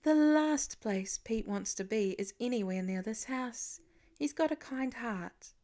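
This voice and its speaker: A woman's voice: fairly high pitch, lively intonation, a little slow, warm tone. A woman voicing a loving, practical mother in a storybook reading, soothing and matter-of-fact.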